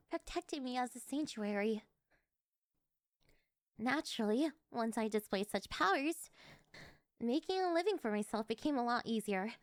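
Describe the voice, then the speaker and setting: A woman's voice: sits high, dry recording, studio quality. A woman playing an obsessive, worshipful young cleric who leads a cult, speaking intimately and fervently to one listener in an anime-style yandere ASMR roleplay. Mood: adoring, fervent.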